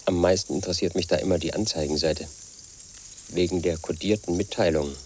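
A man's voice: low-pitched, fairly quick, darkish tone, slight background noise, lightly acted. An actor playing a lonely, paranoid 38-year-old man who talks in a pedantic, confiding way in a radio drama monologue. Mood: brooding. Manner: pedantic, confiding, obsessed with statistics and codes. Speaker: lead actor in a radio play.

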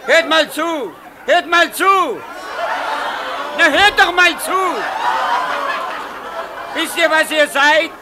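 An actor playing a coarse, defiant underdog ranting bluntly and loudly in a 1950s radio play. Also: male voice, very high voice, takes it slowly, pauses often. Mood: defiant, scornful. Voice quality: loud, shouting. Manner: coarse, blunt, colloquial, insistent and repetitive. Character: rough, defiant, ranting male underdog at a society costume party. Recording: noisy 1950s radio recording, loud crowd. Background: loud crowd of party guests.

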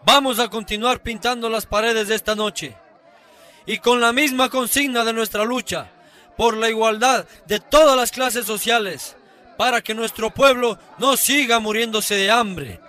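Very high, bright young revolutionary. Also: male voice, unhurried, full character voice. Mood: fervent. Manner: rallying, declamatory. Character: fervent young revolutionary student leader. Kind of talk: radio drama acting.

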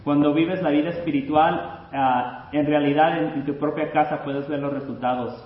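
A man's voice: fairly high pitch, fairly even intonation, brisk. A man interpreting a sermon live, hesitant and full of fillers and restarts. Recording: roomy lo-fi recording with faint noise.

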